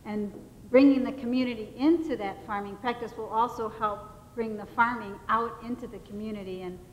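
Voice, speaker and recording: A woman speaking earnestly as a farmer on a panel. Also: medium pitch. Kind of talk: panel discussion answer. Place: large hall, panel event. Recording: echoey hall with faint noise.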